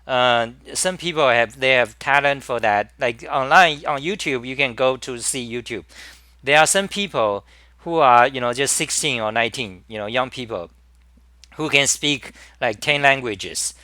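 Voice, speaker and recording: A man with a Chinese accent hosting a live-stream talk, speaking in halting bursts with many restarts and repeated words. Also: mid-range voice, pushed and bright, no room sound. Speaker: host.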